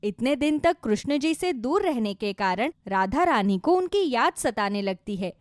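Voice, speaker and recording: A woman's voice: on the high side, sing-song, a little slow, dry recording, clean studio sound. A woman narrating a scripted moral story for children.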